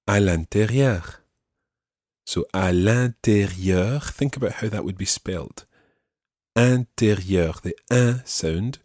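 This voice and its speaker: A man teaching French, patiently explaining and repeating phrases slowly. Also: lowish voice, a little mellow, slightly rough, no echo, studio quality. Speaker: language teacher.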